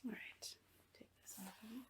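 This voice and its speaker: A woman playing a sinister asylum nurse in a horror ASMR roleplay, whispering in a breathy, coaxing, falsely reassuring way. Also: normal speed, close to an everyday voice. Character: sinister asylum nurse, soothing on the surface, quietly menacing.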